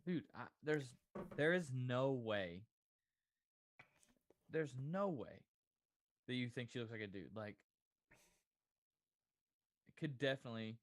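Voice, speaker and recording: A man's voice: medium pitch, lively intonation, slow pace, pauses often, no echo. A man co-hosting a podcast, answering questions in casual banter and teasing his partner with disbelief. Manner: incredulous, teasing, swears freely.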